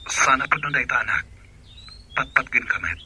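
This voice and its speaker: A man's voice: mid-range voice, expressive, normal speed, bright, forceful tone, slight background noise. A man acting a troubled, unfaithful husband and absent father in a radio drama. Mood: coaxing.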